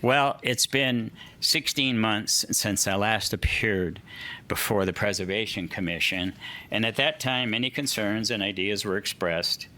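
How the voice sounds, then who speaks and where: A man's voice: fairly low pitch, unhurried, pushed and bright. A man presenting plans for a hotel to a city commission at a public meeting, reading from a prepared statement, listing points with a few fillers.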